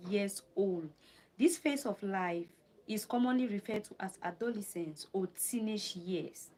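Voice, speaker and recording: A woman's voice: medium pitch, a little mellow, low-quality recording. A woman from Cameroon hosting a livestream, reading from notes, repeating her phrases and stopping to answer viewers' questions. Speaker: livestream host. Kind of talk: live stream talk reading notes and chatting with viewers.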